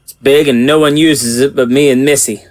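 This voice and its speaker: A man's voice: medium pitch, steady tone, moderate pace, no room sound, close to an everyday voice. A man playing an earnest, protective small-town sheriff in an audio drama, with a folksy, plain-spoken manner. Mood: grave, earnest.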